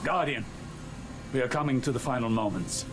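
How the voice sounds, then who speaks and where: A man playing a grave, earnest scholar in a game, speaking in a measured, formal, cautious way. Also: medium pitch, lightly acted. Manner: measured, formal, hesitant in places. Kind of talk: dramatic game cutscene monologue. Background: music.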